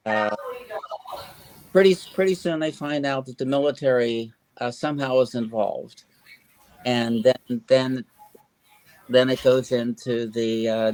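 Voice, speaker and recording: A man's voice: medium pitch, a little mellow, no echo, low-quality recording. An older man, an author in a casual interview, talking in a rambling, hesitant way with lots of fillers and restarts.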